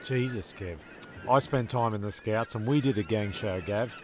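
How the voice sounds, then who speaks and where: A man narrating and acting as a fussy, deadpan castaway in a comedic radio play, with a creaky voice. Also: lowish voice, moderate pace, slight background noise, close to an everyday voice. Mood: mildly exasperated.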